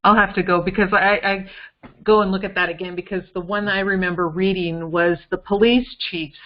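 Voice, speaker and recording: A woman co-hosting a casual case discussion, thinking aloud in a halting way on muffled call audio. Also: medium pitch, fairly even intonation.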